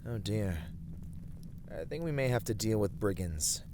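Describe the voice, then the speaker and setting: A man's voice: on the low side, expressive, a little slow, noisy background. A man playing an earnest, courteous fantasy adventurer in an ASMR roleplay, speaking in formal, thoughtful, slightly hesitant phrases. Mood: wary.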